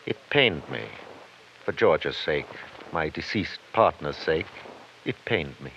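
A man's voice: a bass voice, animated, takes it slowly, a bit bright, full character voice. A man playing a smug, preening lawyer in a 1950s radio drama, with pompous, ornate and mocking phrasing. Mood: pained, defensive. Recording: muffled, slightly noisy old radio recording.

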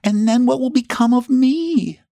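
Brief: male narrator as a fretful, sweet young female moth for a storybook; raised pitch, hugely varied melody. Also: big pitch swings, unhurried, darkish tone, no echo, studio quality. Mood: distressed pleading. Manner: earnest, fretful, pleading. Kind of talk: children's storybook character voice.

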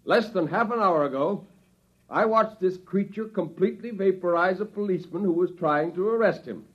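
An anxious university professor, with a fairly high voice and educated, hesitant phrasing. He reasons courteously and earnestly, on a dull vintage radio drama recording. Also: moderate pace, slightly rough. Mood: anxious, pleading. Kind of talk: 1950s radio drama acting.

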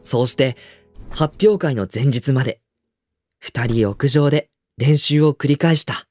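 An actor playing a reserved, understated teenage high school boy who used to dance ballet, in a radio drama with dialogue and narration. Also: unhurried, a little mellow, no room sound, soft background music, lightly acted. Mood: reflective narration.

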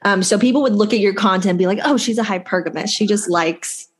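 A woman hosting a podcast, asking questions in a casual, relaxed way. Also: medium pitch, fast, breathy edge. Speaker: podcast host, interviewer.

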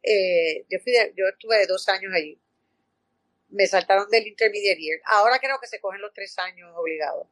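A woman's voice: mid-range voice, moderate pace, dry recording. A Puerto Rican woman, an actress, telling stories in an interview with lots of fillers and restarts. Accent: Puerto Rican Spanish. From Puerto Rico. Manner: animated storytelling with many fillers and restarts. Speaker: actress guest. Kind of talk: conversational interview.

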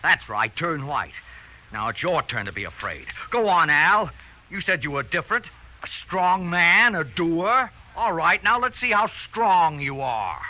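An actor playing a nervous, scheming blackmailer in an old radio drama, urgent and prone to stammering. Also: male voice, on the high side, very animated, measured pace, bright, forceful tone, heavily acted. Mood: triumphant, taunting. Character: nervous, scheming male blackmailer, jumpy and evasive. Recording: faintly noisy, thin old radio recording.

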